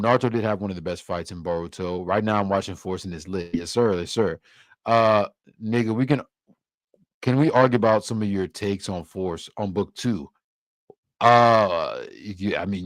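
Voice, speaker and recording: A man's voice: lowish voice, moderate pace, no echo. A young man from Cameroon hosting a casual livestream, answering viewer comments in a loose, easygoing way with many restarts. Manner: laid-back, loose, filler-heavy with frequent restarts. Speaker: livestream host.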